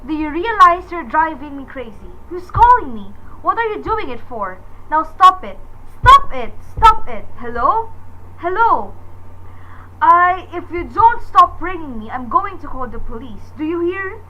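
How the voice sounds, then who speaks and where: Woman acting a high-voiced, overwrought, imperious wife. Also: big pitch swings, a little slow, bright, forceful tone, heavily acted. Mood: frantic. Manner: indignant, demanding, melodramatic. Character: nervous, imperious married woman spiralling into panic. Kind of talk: suspense radio drama acting. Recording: dull lo-fi recording with faint noise.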